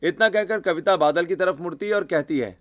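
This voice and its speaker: A man reading scripted narration for an animated family drama story. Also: sits high, fairly quick. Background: music.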